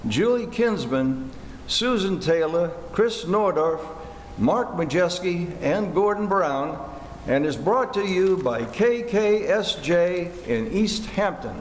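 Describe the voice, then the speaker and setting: A man's voice: high-pitched, lively intonation, takes it slowly. A man reading scripted narration as the narrator of an old-fashioned radio play. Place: indoor theater hall. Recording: noisy, echoey hall recording.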